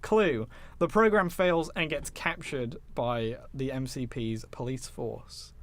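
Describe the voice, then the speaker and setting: A man's voice: medium pitch, takes it slowly, fairly bright tone. A man hosting a podcast, recapping and discussing films casually with joking, sweary asides. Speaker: podcast host. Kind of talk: casual film discussion with scripted plot recap.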